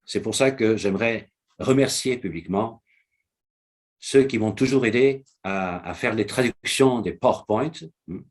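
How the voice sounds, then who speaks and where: A man's voice: fairly low pitch, measured pace. A man whose first language is Portuguese gives a motivational online presentation to his business network, repeating key phrases and checking in with listeners often.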